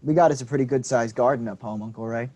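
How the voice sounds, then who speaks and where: A man's voice: mid-range voice, quick pace, dark tone, lightly acted. A man reading the part of a polite, earnest teenage nephew, a little unsure of himself. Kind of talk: staged play reading.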